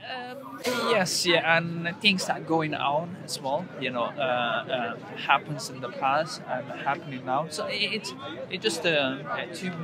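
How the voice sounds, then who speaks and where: A man with a Syrian accent talks in broken, hesitant English, stalling on fillers and repeating words as he searches for them. His voice sits a little high for a man. Loud crowd noise surrounds him on a busy city street. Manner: hesitant, halting English with many fillers and stammers. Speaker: passer-by being questioned. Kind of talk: street conversation.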